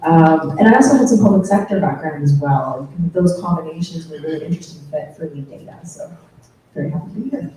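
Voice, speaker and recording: A woman's voice: deep voice, quick pace, mellow. A woman, a technology company CEO, introducing herself on a panel in a matter-of-fact, lightly humorous way. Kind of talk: panel discussion. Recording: echoey room, distant mic.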